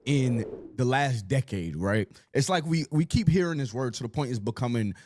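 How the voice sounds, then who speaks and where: A man's voice: mid-range voice, animated, moderate pace, a little mellow, slight background noise. A man on a podcast giving an earnest, reassuring motivational talk. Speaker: podcast host.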